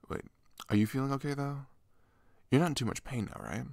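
A man playing a shy, sweet young best friend in an ASMR roleplay, talking gently and hesitantly, close to the mic. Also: mid-range voice, expressive, a little fast, creaky, no echo. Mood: gentle, shy. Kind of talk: ASMR roleplay, soft intimate comforting talk. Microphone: close microphone.

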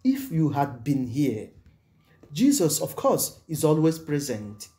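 Mellow-voiced priest with lively intonation. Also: male voice, on the high side. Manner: measured, solemn, formal delivery. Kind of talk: scripted homily. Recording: music behind the voice. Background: background music.

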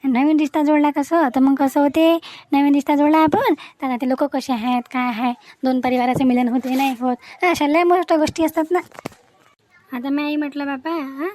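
A woman's voice: high-pitched, steady tone, moderate pace, a little mellow, lightly acted. A woman voicing a chatty, boastful older village woman in a cartoon comedy, with a rural Vidarbha Marathi accent and folksy, repetitive talk. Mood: persuasive, boastful. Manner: talkative, folksy, repeats herself.

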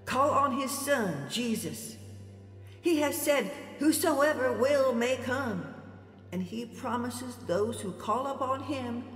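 A woman's voice: a little high, lively intonation, takes it slowly, roomy sound, in a large hall, faint music behind. A woman narrator delivering a scripted gospel appeal in a radio drama.

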